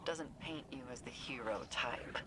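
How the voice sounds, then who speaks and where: A woman's voice: extremely low pitch, measured pace. An actress playing a blunt, stern female military officer who speaks tersely. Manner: terse, dry, no-nonsense. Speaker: deputy commander. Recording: noisy game audio.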